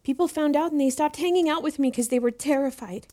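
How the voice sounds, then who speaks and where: A woman's voice: high voice, quick pace, dark tone, close to an everyday voice. An actress playing a hesitant, anxious young female student in an audio drama, tentative and deferential. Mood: reluctant, uneasy. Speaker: actor in a fiction podcast.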